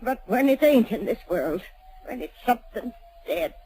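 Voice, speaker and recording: A woman playing a tough frontier homesteader woman shaken by fear, in halting backcountry dialect, 1940s radio acting. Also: on the high side, animated, mellow, husky, low-quality recording. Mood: frightened.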